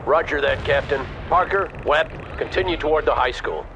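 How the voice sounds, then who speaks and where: An actor playing a seasoned, gruff American colonel in a video game, weary and blunt, with a gravelly voice. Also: male voice, fairly high pitch, moderate pace, highs cut off, a crowd in the background, natural voice. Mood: grave, commanding. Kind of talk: video game cutscene acting.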